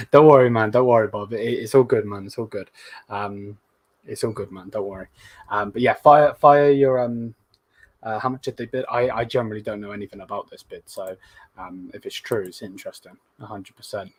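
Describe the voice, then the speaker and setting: A man hosting a casual live stream, slightly hoarse, rambling with lots of hesitant fillers as he reads viewer comments. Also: on the low side, a little fast, dry recording. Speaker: host of a live fan stream.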